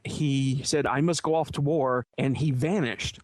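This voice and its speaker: A man's voice: mid-range voice, steady tone, a little slow, a little mellow, dry recording. A man telling anecdotes in casual chat as a podcast panelist.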